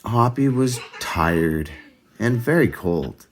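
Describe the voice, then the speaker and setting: Slow, animated male storyteller. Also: medium pitch. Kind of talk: children's storybook read-aloud.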